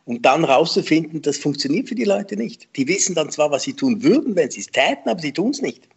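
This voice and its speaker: A man, a memory trainer telling lively, self-deprecating anecdotes in an interview and laughing often. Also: on the high side, fairly quick, creaky, slightly rough. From Switzerland. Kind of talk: conversational storytelling interview.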